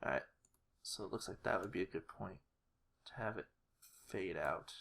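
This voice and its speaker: A man's voice: sits low, moderate pace, vocal fry, a bit bright, faint music behind. A man narrating a casual tutorial, hesitant and unrehearsed, thinking aloud as he works. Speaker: animator, video creator.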